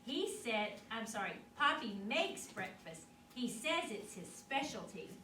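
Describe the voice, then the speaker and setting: A woman reading a picture book aloud to children, expressive and teacherly, pausing to put questions to her young listeners. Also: fairly high pitch, soft background music. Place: echoey indoor room at a school literacy event. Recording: roomy, distant mic with clear background noise.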